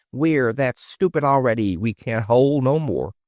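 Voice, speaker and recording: A man's voice: medium pitch, animated, a little slow, darkish tone, no room sound, studio quality, voice pushed up, close to an everyday voice. A man doing a dim, proud porpoise guard. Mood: proud simple. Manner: homely, ungrammatical, plodding.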